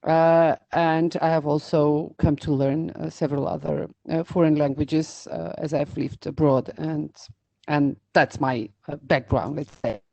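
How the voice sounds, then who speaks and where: A middle-aged woman with an Albanian accent, a native Albanian speaker, answering interview questions slowly with many pauses and fillers. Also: low-pitched, fairly even intonation, gravelly, darkish tone, no room sound. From North Macedonia. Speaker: interpreter and business owner.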